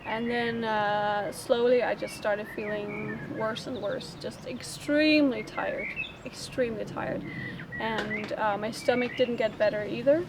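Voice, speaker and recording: A woman from Denmark telling her personal story in an interview, in a halting, thinking-aloud way. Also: fairly high pitch, fairly even intonation, noisy background.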